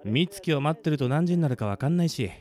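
A man's voice: fairly high pitch, expressive, fast, background noise, close to an everyday voice. A man playing a blunt, laid-back young male drinking buddy in an amateur radio drama. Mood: nonchalant.

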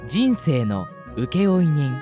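A man narrating the opening of an amateur radio drama in a measured, storytelling way. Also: fairly high pitch, sing-song, mellow, faint music behind. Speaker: radio show co-host and narrator.

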